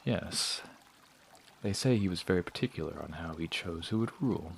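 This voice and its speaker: A man voicing a sly traveling performer who teases and probes with smooth talk in an audio drama. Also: sits low, animated, normal speed, a little hiss or hum. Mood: teasing, prying. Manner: teasing, probing, smooth-talking. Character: sly prying male traveling performer, teasing.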